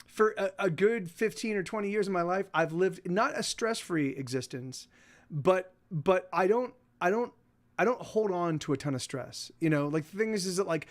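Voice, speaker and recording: A man in his forties hosting a livestream, chatting casually with viewers in halting, self-interrupting sentences and frequent swearing. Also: sits high, animated. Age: 40s. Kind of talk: rambling casual chat.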